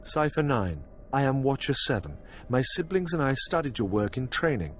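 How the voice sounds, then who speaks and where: An actor plays a young engineered intelligence analyst in a sci-fi game. His middle-pitched voice moves widely in melody, yet his words are formal and oddly detached. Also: very animated, normal speed, lightly acted. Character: eerily clinical young male engineered analyst. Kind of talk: video game cutscene acting.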